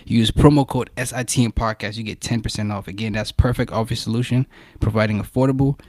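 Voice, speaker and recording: Fast-talking slangy male podcast host. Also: lowish voice, steady tone, darkish tone, no echo. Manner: slangy, hype-man interjections. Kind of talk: casual podcast chat.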